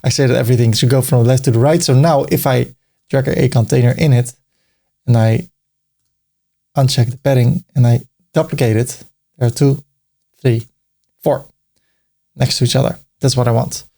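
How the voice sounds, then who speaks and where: A man's voice: mid-range voice, steady tone, moderate pace, creaky, pauses often, dark tone. A middle-aged man with a Dutch accent walking through a web design tutorial step by step, casual and now and then joking. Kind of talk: screen-recorded tutorial narration.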